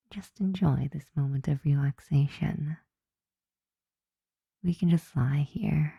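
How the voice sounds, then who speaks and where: A woman playing a flirty, softly dominant, affectionate vampire roommate in an intimate ASMR roleplay, breathy and often whispering, teasing and tender. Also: sits low, no room sound, highs cut off, exaggerated voice. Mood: tender, soothing.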